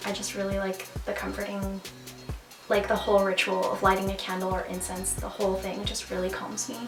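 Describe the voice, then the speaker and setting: A woman's voice: medium pitch, monotone, a little fast. Bubbly young Canadian woman, roomy sound. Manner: bubbly, rambling, filler-heavy. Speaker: vlogger. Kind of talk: casual chat. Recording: roomy, from a distance, music behind.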